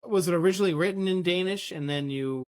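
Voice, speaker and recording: A man's voice: high voice, fast talker, darkish tone. A man hosting a casual interview, chatting and asking questions in a conversational way. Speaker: interviewer, podcast host. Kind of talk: casual chat.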